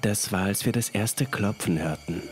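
A young man narrates a scripted horror tale in the first person. His voice is fairly low, with faint music behind it. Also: normal speed.

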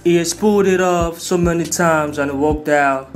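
A man's voice: a little high, normal speed. A man hosting a video, giving hyped, slangy commentary on a movie trailer. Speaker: video host. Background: music.

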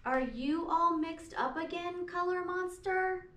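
A middle-aged woman reading a picture book aloud to young children as a teacher, with deliberate, sing-song storytelling. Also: extremely high pitch, a bit bright. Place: small classroom, indoors. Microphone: camera a few meters away. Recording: echoey room, distant mic, background noise.

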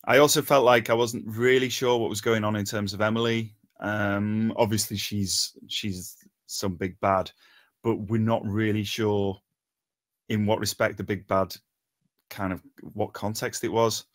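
A man with a fairly low voice gives an informal critique. He talks haltingly, feeling for his words with plenty of ums and pauses. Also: steady tone. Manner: hesitant, halting, frequent long pauses and ums. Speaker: table read participant giving script feedback. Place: remote online voice call.

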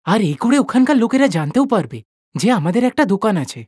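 A woman voicing a responsible, agreeable elder son in an animated moral story, earnest and emphatic. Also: mid-range voice, fairly quick, slightly rough, dry recording, clean studio sound. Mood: agreeing, decisive.

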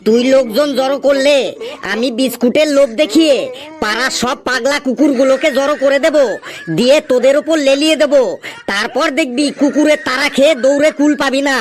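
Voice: high voice, steady tone, brisk, a little hiss or hum, natural voice. An actor playing a grumpy, stubborn tea stall keeper in a comedy cartoon, scolding and insistent. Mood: exasperated, stubborn.

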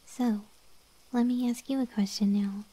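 A woman playing a gentle, lonely young gargoyle guardian in an ASMR roleplay, speaking in a soft, breathy, intimate whisper. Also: fairly high pitch, steady tone, measured pace, low-quality recording. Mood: friendly, playful.

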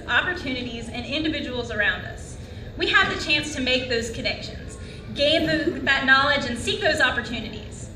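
Bright-voiced young woman giving a graduation speech. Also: sits high, slight background noise. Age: young adult. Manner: earnest, rhetorical questions to the audience. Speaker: graduation speaker. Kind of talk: commencement speech. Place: large auditorium stage at a lectern. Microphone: lectern microphone. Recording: echoey hall from far off.

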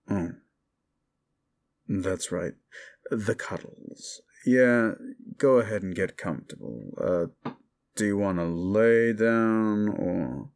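A man's voice: on the low side, animated, drawn-out words, gravelly, long pauses, a little mellow, heavily acted. A man playing a smug, sardonic fallen-angel demon in an intimate roleplay audio drama, talking with condescending, mocking exasperation. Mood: grudging, sardonic.

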